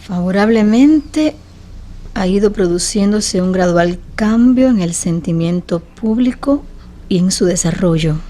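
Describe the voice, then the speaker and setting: A woman's voice: medium pitch, dark tone, natural voice. An actress playing a thoughtful, candid Georgian woman novelist in a radio drama.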